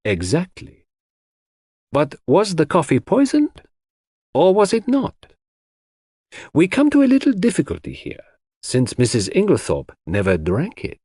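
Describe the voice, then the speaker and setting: A man voicing a shrewd, theatrical detective in an audiobook, speaking deliberately and dramatically with rhetorical questions as he lectures suspects. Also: fairly high pitch, wildly swooping pitch, mellow, husky, no echo, clean studio sound, voice pushed up, exaggerated voice. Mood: methodical, probing. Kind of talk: audiobook character dialogue.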